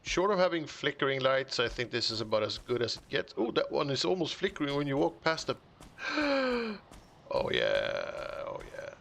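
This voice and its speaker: A man's voice: mid-range voice, wildly swooping pitch, moderate pace, vocal fry, a bit bright. A man streaming a building game, chatting casually as he works and often chuckling, musing half to himself. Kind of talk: casual live-stream commentary while building.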